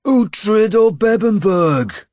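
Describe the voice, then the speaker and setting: A male narrator acts out a cruel, boastful warlord in a historical audiobook. He snarls and sneers, pitched well up and pushed loud, in a close, dry recording. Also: lively intonation, extremely slow, no echo, heavily acted. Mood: gloating. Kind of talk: audiobook character acting.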